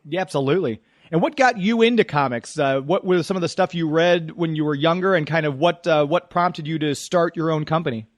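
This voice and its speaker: A man's voice: on the high side, brisk, no room sound. A man hosting an upbeat radio interview, talking with a guest as the show's presenter. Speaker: radio show host and interviewer.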